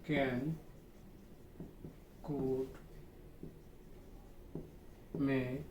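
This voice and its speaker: A man with a Bangladeshi accent teaching a grammar lesson in a deliberate, repetitive way, checking in with his pupils. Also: mid-range voice, level tone, a little mellow. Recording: echoey, distant mic, noisy room.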